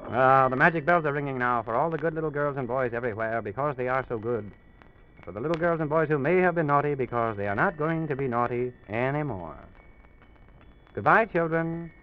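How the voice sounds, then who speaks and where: A man hosting a children's radio show as storyteller, acting along with gentle, encouraging exclamations. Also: medium pitch, brisk, slight background noise. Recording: muffled narrow vintage broadcast, loud music.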